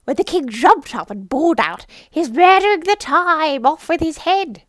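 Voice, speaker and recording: A woman's voice: sits high, expressive, normal speed, fairly bright tone, dry recording, clean studio sound, voice pushed up. A woman voicing an eccentric, huffy and mournful male hatter from a storybook, in a declamatory, exaggerated way. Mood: mournful, exclaiming. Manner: declamatory, contemptuous, exaggerated swings. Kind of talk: storybook character voice.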